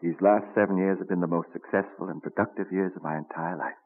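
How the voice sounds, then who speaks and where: An actor playing a genial, philosophical colonial inventor and printer in a courtly, earnest radio drama manner. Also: male voice, low voice, steady tone, quick pace. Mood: proud. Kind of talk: old-time radio drama acting. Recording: muffled narrow-band vintage radio recording.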